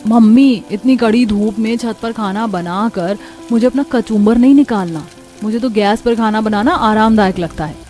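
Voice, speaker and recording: A woman's voice: fairly high pitch, normal speed, a little mellow, soft background music, close to an everyday voice. A woman voicing a whiny, put-upon young bride who complains and exclaims, in a cartoon story.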